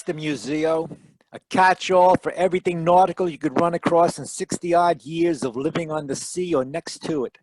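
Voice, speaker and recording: An actor playing a brusque, world-weary private detective in 1940s radio style, terse and wry. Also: male voice, a little high, dry recording, close to an everyday voice, low-quality recording. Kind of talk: 1940s radio detective acting with first-person narration.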